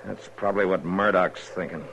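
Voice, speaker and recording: An American actor playing a steady, determined Old West lawman in a 1950s radio western, terse and plainspoken. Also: low-pitched, a little fast, gravelly. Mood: wary, calm. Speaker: narrator and lead actor. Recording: noisy vintage radio recording.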